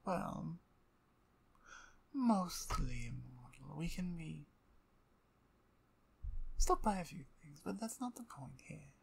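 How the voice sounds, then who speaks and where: Female vampire usurper , played by a woman, ASMR drama: low, unhurried, husky half-whispers, dominant, smug and mockingly courteous. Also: lively intonation, a little hiss or hum, full character voice. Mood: cold, insistent. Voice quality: breathy, husky. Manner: slow, taunting, mock-courteous, breathy half-whispers. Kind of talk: ASMR audio roleplay, dramatic monologue.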